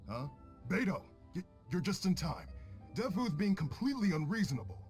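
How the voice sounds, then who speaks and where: A man's voice: sits high, big pitch swings, normal speed, soft background music. A man playing an earnest, insistent farmhand in a video game, eager to be heard. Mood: aggrieved. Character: earnest male farmhand, aggrieved yet eager to please.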